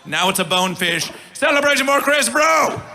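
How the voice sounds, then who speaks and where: A man emceeing a live festival stage, theatrical, with mangled grammar and chanted, shouted callouts. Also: extremely high pitch, animated, moderate pace, vocal fry, slight background noise. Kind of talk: live stage hype announcing.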